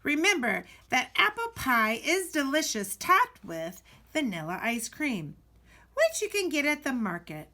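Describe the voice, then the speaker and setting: Animated, high-voiced woman reading a storybook. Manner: deliberate, dramatic emphasis and pauses. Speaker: teacher reading a picture book aloud. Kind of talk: expressive children's storybook read-aloud.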